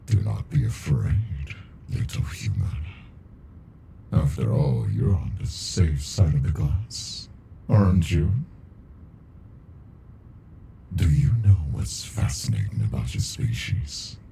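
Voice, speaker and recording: A man voicing an ancient, arrogant captive alien in an ASMR monster roleplay, murmuring with slow, amused menace and condescension in a deep, gravelly voice. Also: big pitch swings, mellow, a little hiss or hum, exaggerated voice. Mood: condescending, amused.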